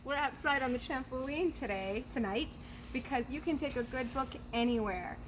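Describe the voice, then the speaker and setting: A woman reading a children's story aloud outdoors in an animated teacher's way. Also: high-pitched, moderate pace. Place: outdoors on a trampoline. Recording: background noise, outdoor ambience.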